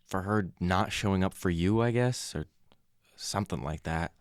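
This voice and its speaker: Man playing an earnest deep-voiced brother-in-law. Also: no room sound, studio quality, close to an everyday voice. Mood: reproachful, frank. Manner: measured, careful, well-spoken. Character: earnest, educated brother-in-law, out-of-work paralegal, measured and frank. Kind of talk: naturalistic radio drama acting.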